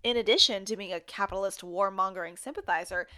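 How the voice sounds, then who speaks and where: A woman's voice: mid-range voice, fast, sharp tone, clean tone, lightly acted. An actress playing an outspoken, indignant woman who co-hosts a town radio show in an audio drama, speaking with exasperated, sarcastic bite. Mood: indignant, rallying. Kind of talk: audio drama acting as conversational radio banter.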